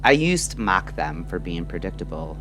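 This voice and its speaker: A man delivering a scripted, introspective late-night radio monologue in measured, deliberate short phrases. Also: sits low, sing-song. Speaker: narrator and host of a late-night radio style audio show. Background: music.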